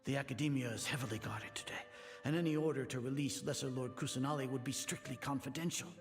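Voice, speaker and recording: A man's voice: mid-range voice, steady tone, fast talker, creaky, music in the background, natural voice. An actor playing an imperious, suspicious older male grand sage in a video game, speaking formally and commandingly as he grows rattled. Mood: alarmed, suspicious. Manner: commanding, formal, questioning himself aloud.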